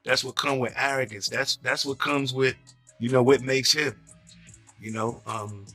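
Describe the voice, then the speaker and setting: Man, firm measured interview answer, bright tense tone, faint music behind. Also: medium pitch, steady tone.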